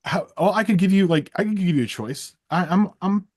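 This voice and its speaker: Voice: medium pitch, animated, brisk. A co-host with a wry, teasing way of talking, chatting casually and presenting quizzes. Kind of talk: casual chat.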